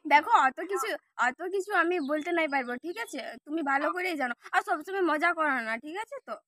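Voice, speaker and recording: A woman's voice: high-pitched, lively intonation, fast talker, a bit bright, no echo, clean studio sound. A woman, nagging and scolding insistently in a casual prank phone call. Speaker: prank caller posing as the wife of the person called.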